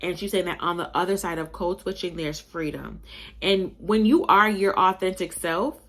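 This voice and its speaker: A woman's voice: on the low side, moderate pace. Young woman, slightly Southern-tinged Buffalo accent. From Buffalo, New York. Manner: animated, emphatic, chatty, addresses listeners as y'all. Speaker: podcast host. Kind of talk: casual opinion monologue.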